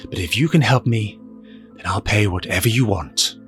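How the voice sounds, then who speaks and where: A man's voice: fairly low pitch, moderate pace, a bit bright, faint music behind, lightly acted. A man playing a bitter, brooding, wealthy fallen superhero with a sneering, curt manner in an audio drama. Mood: wary.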